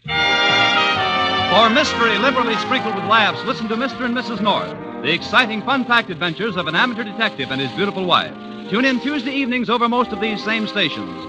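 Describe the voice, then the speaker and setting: A man's voice: high voice, rough recording. A man working as a formal, polished announcer on a vintage radio show. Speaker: program announcer. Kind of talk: 1940s-50s radio announcing.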